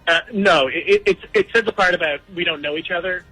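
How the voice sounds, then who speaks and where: A man calling in to a radio show, with a bright, slightly husky voice pitched a bit high. He speaks hesitantly and often stammers and restarts his words. Faint music plays beneath him. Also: fast, no echo, low-quality recording. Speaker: caller. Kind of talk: telling his story on a radio call-in.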